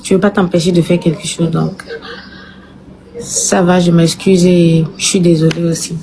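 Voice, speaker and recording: A woman's voice: fairly low pitch, flat delivery, normal speed, vocal fry, noisy background. A woman speaking casually in an intimate one-to-one conversation.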